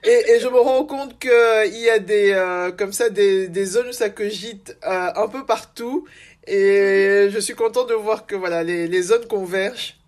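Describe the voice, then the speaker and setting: Woman hosting a casual interview, mid-pitched. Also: measured pace, a little mellow. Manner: informal, encouraging, reacts often. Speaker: podcast host, interviewer.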